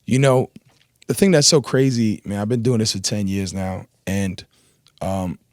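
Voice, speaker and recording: A man whose first language is Arabic, a rapper guest telling stories in a relaxed, rambling way with slang, on a studio mic in a radio studio. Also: on the low side, normal speed, no echo. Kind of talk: casual interview chat.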